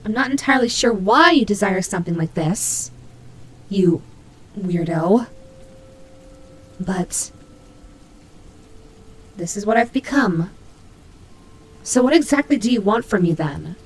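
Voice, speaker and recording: A woman's voice: mid-range voice, lively intonation, unhurried, long pauses, a little hiss or hum, close to an everyday voice, poor audio. A woman voicing a cute female demon bunny, proud and grudging, who stammers huffily in a scripted audio roleplay. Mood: wary confusion. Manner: indignant, stammering, huffy.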